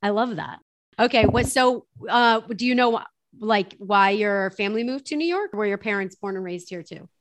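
A young adult woman hosting an interview, effusive and quick to call everything amazing. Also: mid-range voice. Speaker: podcast host. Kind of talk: casual interview chat.

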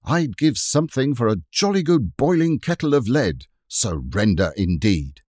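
A man's voice: mid-range voice, very animated, moderate pace, a little mellow, no echo, clean studio sound, pitched above the performer's own voice. A man voicing a fierce, defiant young boy in a storybook. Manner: eager, defiant.

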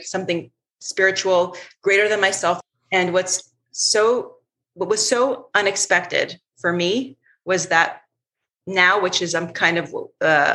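A woman's voice: lowish voice, bright, forceful tone. A woman co-hosting a podcast, speaking reflectively and searching for her words in casual conversation. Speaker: podcast co-host.

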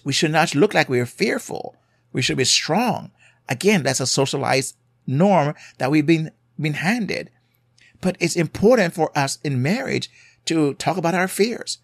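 A man's voice: fairly high pitch, a bit bright, dry recording, clean studio sound. A man with a Jamaican accent who hosts a podcast as a marriage coach. He gives advice in an earnest, conversational way and often checks in with his listeners. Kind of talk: solo podcast advice talk.

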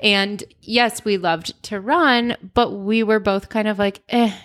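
A woman's voice: mid-range voice, fairly even intonation, slow pace, fairly bright tone. A young adult woman, co-hosting a casual podcast conversation in an upbeat, reflective way. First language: English. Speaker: podcast co-host.

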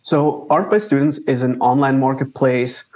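A man's voice: mid-range voice, moderate pace. Man speaking English, casual. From Switzerland. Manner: relaxed, conversational, with small grammar slips. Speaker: guest entrepreneur. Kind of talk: interview answers.

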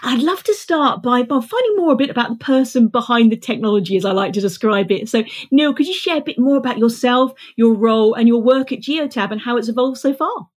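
A woman's voice: a little high, lively intonation, fairly quick, few pauses. A middle-aged woman hosting a podcast interview in a polished, enthusiastic manner. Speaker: podcast host.

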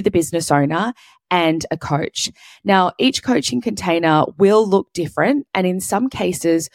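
A woman with a husky, hoarse voice, hosting a solo podcast and explaining business coaching step by step. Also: on the low side, darkish tone, no echo. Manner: explains step by step in clear lists. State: hoarse, sore throat.

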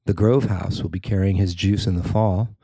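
A man narrating a newspaper feature he wrote, in a measured, even reading. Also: low-pitched, husky, no echo, studio quality. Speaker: newspaper columnist reading his story. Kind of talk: scripted narration.

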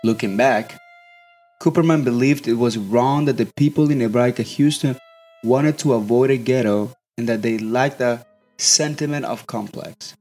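Young guy reading a script, even and measured, soft music under it. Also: medium pitch. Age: young adult. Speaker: student narrator. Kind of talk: scripted documentary narration.

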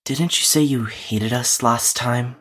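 Woman as deep, husky, weary young Nikolai. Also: unhurried, fairly bright tone, heavily acted. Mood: flat, puzzled. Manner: hesitant, subdued. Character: weary, hesitant, reserved Nikolai, young male husk of a person. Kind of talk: audio drama acting.

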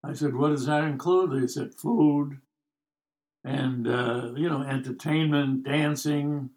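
A man's voice: fairly high pitch, fairly even intonation, slow pace, darkish tone. An American man in his nineties telling stories casually, with a wry, joking, rambling manner. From United States. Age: 90s. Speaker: podcast guest telling life stories. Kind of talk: casual storytelling.

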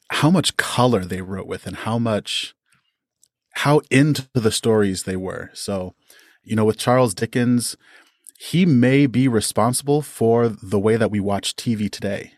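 A man's voice: on the low side, measured pace, a little mellow, no echo, studio quality. A man speaking as a podcast guest, explaining things conversationally in an enthusiastic, articulate way. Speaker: storyteller and podcast creator.

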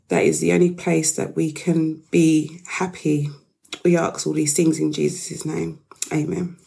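A woman with a croaky voice hosting a live daily devotional, praying and then teaching a Bible verse in a casual, chatty way. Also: on the low side, mellow. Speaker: devotional host.

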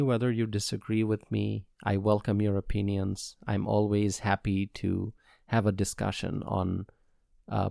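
A man from Bangladesh hosting a solo podcast, giving earnest, measured commentary on public policy and repeating his points for emphasis. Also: on the low side, creaky, a little mellow, no echo, clean studio sound. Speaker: podcast host.

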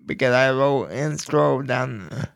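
Slow, halting man with a creaky voice. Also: medium pitch. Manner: halting, deliberate phrasing in short bursts. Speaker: podcast host. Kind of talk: personal talk to listeners.

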